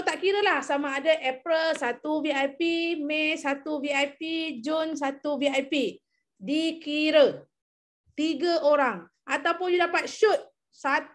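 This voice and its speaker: A high-pitched young Malaysian woman briefs her sales team over a video call. She repeats the key figures and keeps checking that everyone follows. Her tone is coaxing and encouraging. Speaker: MLM team leader presenting an incentive program.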